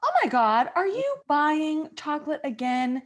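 A woman's voice: high-pitched, big pitch swings, a little slow. A woman playing an exasperated, bossy, motherly wife who fusses and scolds in an improvised comedy sketch. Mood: exasperated, scolding. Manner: scolding, fussing.

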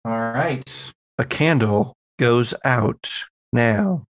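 A man's voice: medium pitch, drawn-out words, no room sound. A man acting as game master, narrating and refereeing a tabletop horror roleplaying game in a conversational way.

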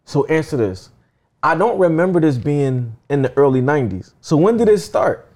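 High-voiced man, reasoning aloud. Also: lively intonation, normal speed. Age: 30s. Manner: questioning, reasons out loud with examples. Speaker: guest. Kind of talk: casual chat.

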